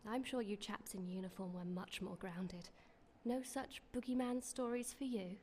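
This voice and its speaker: A woman plays a young English sweetheart in a period radio play, with a medium-pitched voice. She is well-spoken, teasing and dryly wry, with light ambience behind her. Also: normal speed, mellow, a little hiss or hum, close to an everyday voice. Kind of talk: period radio drama acting.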